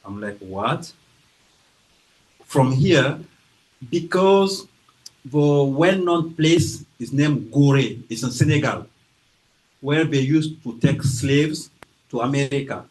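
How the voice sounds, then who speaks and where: A man's voice: mid-range voice, expressive, darkish tone, low-quality recording. Man from Guinea with a French accent. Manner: slow, careful delivery with hesitations and acted-out quotes. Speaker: author presenting his book. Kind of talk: informal talk to an audience. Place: library event room.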